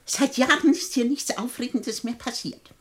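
An actress in a 1960s radio play, playing a rich, bedridden old widow who is defiant, imperious and sharp-tongued. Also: female voice, a little high, normal speed, natural voice. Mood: amused defiance. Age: older. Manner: imperious, commanding, sardonic.

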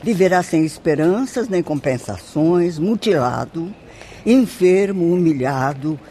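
An actress playing a grave, compassionate spirit sister in a radio drama, solemn and declamatory. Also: female voice, on the low side, expressive, measured pace, dark tone. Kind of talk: Brazilian radio drama acting. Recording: faint background noise.

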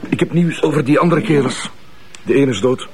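An actor playing a dutiful police officer in a TV crime drama, reporting in a matter-of-fact way with a Flemish accent. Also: male voice, mid-range voice, a little fast, a little mellow, slight background noise, lightly acted. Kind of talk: naturalistic TV crime drama acting.

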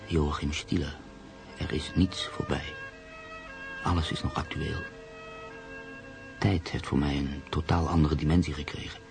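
Very deep, gravelly brooding man. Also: steady tone, music in the background, exaggerated voice. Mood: pensive, hushed. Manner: measured, literary, pensive. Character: brooding, sceptical man narrating his own uneasy story. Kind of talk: radio drama narration and dialogue.

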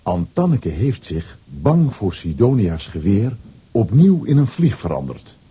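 A man narrating a children's audio play as a storyteller, reading scripted lines with lively expression. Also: fairly low pitch, measured pace, breathy edge, heavily acted. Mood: animated storytelling. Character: male storyteller of a children's comic adventure.

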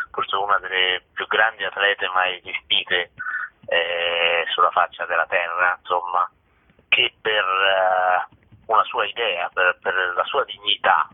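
A man, a theatre director being interviewed, telling a story spontaneously and a little haltingly over a narrow phone line. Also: low-pitched, no room sound.

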